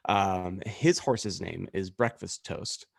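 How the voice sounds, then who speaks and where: Chatty joking podcast guy, kinda low voice, close dry sound, keeps things moving. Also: takes it slowly, gravelly, no room sound. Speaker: podcast co-host. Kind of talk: casual comedic podcast chat.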